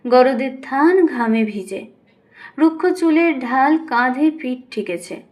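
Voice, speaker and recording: A woman with a high voice reads a scripted literary story. She narrates expressively, as an audiobook storyteller does. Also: animated, slow, highs cut off. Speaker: audiobook reader.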